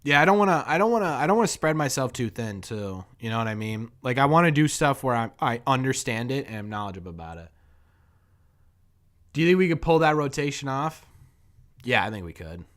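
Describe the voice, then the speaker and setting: A hoarse young man with a New England accent, hosting a casual live-stream sports chat, rambling and thinking aloud as he answers viewers. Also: medium pitch, animated, brisk, a bit bright. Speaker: sports podcast host.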